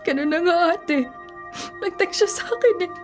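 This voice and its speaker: An actress playing a sorrowful, plaintive young daughter and jilted bride in a radio drama. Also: female voice, very high voice, expressive, moderate pace. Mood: tearful. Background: music.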